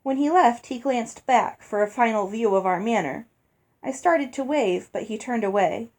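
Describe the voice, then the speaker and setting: A woman with a fairly high voice reads a storybook aloud. She delivers scripted first-person narration as an audiobook reader. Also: expressive, normal speed, darkish tone.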